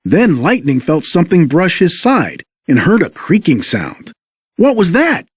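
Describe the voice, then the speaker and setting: A man's voice: a little high, huge pitch swings, a little slow, husky, no room sound. A man reading scripted narration for a children's read-along story.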